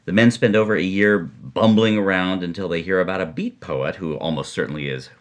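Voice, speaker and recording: A man co-hosting a podcast, telling a story in an animated, conversational way. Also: deep voice, brisk. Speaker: podcast co-host.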